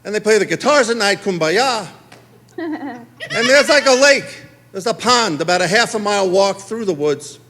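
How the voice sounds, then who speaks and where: A middle-aged man spins a stand-up story on a theater stage. His voice sits high for a man and swoops all over as he acts out each exchange. He keeps the pace of a practiced storyteller. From Queens, New York. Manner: animated, acts out dialogue in quick exchanges. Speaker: stand-up comedian.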